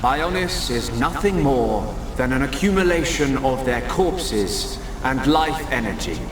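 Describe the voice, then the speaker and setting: Slow, echoing arrogant god played by a man. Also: medium pitch, expressive, background noise, lightly acted. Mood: lofty, contemptuous. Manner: pompous, measured, condescending. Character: arrogant godlike creator, male, serene and contemptuous. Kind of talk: dramatic game cutscene acting. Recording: echoing voice under loud score.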